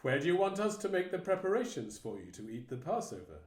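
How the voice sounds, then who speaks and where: A man's voice: a little high, sing-song, fast. A man voicing a group of eager male disciples in a dramatised Bible reading, asking questions eagerly. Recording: echoey room with faint music.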